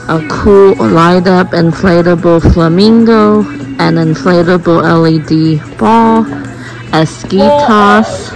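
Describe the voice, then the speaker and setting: A woman narrating a shopping vlog as she walks store aisles, reading out items and prices in an unhurried way with light chuckles, recorded on a handheld phone. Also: medium pitch, poor audio. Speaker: shopping vlogger. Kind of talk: casual shop-with-me narration. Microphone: phone camera held by the speaker.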